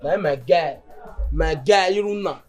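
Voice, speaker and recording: A man with a Nigerian Pidgin accent playing a gossiping young male friend who talks in an animated way. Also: on the high side, normal speed, music in the background, natural voice. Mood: gossipy.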